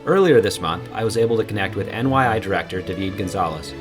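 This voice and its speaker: A male podcast host opens the show and welcomes his guest politely. He talks quickly in a somewhat low voice. Faint music plays behind him. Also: lively intonation. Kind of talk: scripted podcast intro and interview questions.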